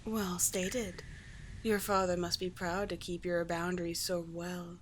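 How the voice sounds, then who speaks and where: Sea witch, played by a woman: lowish, slow, soothing and courtly, gently teasing, ASMR roleplay with noisy ambience behind. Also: little pitch movement, natural voice. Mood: courtly, reassuring. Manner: soothing, formal endearments, unhurried. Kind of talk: scripted ASMR roleplay monologue.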